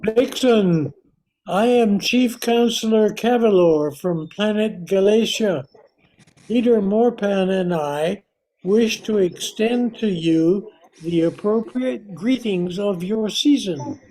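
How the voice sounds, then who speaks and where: Woman as a slow, ceremonious alien counselor. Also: medium pitch, mellow, natural voice. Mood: formal. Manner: formal, deliberate. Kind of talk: amateur radio play acting. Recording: noisy amateur recording with clicks.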